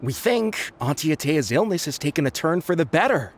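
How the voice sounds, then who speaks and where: A woman playing an earnest, anxious youthful villager in an anime game, with an eager, expressive delivery. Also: deep voice, a little fast. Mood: confident.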